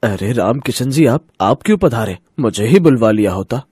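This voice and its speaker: An actor playing a kindly, humble village potter in a cartoon story, an affectionate father with a husky voice. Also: medium pitch, lively intonation, moderate pace. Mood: respectful. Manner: affectionate, gentle.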